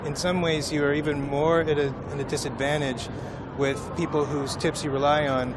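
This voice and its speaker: A man reporting at a protest march, asking measured, probing questions in a street interview. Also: a little high, little pitch movement. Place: outdoor city street march. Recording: loud street noise outdoors.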